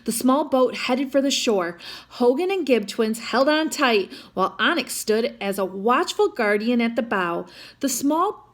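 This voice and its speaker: A woman's voice: a little high, lively intonation, measured pace. A woman reading a children's picture book aloud, expressively, with chatty asides to young listeners. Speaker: storytime reader.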